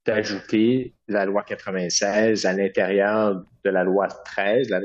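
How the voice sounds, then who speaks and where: A man's voice: lowish voice, level tone, measured pace, dull audio. A man from Quebec with a Québécois French accent, answering interview questions as a political candidate in an earnest way, with many hesitations.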